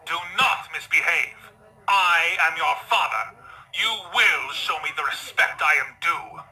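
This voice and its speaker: A man acts out a cold vampire stallion from a dark pony tale, a domineering father who commands in formal phrases. The voice sits a little high for a male and cuts through with very sharp, bright force. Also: lively intonation, a little slow, heavily acted, rough recording. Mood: stern anger. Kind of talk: dramatic fan fiction reading.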